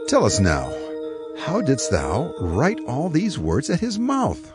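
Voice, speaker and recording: An actor playing a grave, deliberate ancient royal official in dramatized scripture. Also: male voice, on the high side, huge pitch swings, music in the background, full character voice. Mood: grave, urgent. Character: grave royal official questioning and warning a scribe.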